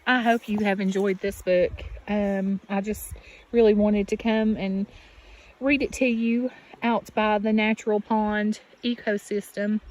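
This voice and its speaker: A woman teacher reading a picture book aloud to children, deliberate and expressive, with questions and asides to her listeners. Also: medium pitch. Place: outdoors beside a natural pond. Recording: steady outdoor background noise. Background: birds and outdoor ambience.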